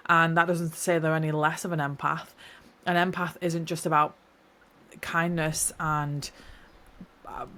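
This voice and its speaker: A woman's voice: lowish voice, a bit bright. Earnest, slightly nasal New woman. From New Zealand. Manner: earnest, rambling, heartfelt. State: stuffy nose from hay fever. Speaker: podcast host. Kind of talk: casual solo podcast talk.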